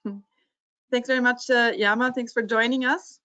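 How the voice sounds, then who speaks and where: Brisk, polite woman moderating a webinar. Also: a little high, fairly even intonation, bright, forceful tone. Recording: dry, lo-fi video call audio.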